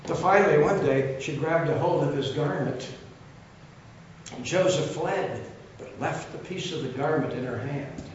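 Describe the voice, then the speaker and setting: An older man preaching a sermon, retelling Bible stories, his throat rough and coughing as he talks around a cough drop. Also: fairly high pitch, moderate pace. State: losing his voice, throat trouble. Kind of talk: sermon storytelling. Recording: very echoey, dull sound, faint hiss.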